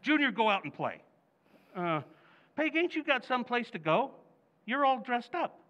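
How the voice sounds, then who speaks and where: A man's voice: very high-pitched, very animated, moderate pace, fairly bright tone, muffled recording, exaggerated voice. An actor playing a bumbling, guilt-ridden middle-aged American husband and father in old-time radio comedy style, flustered and pleading in colloquial working-class talk. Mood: nervous, evasive.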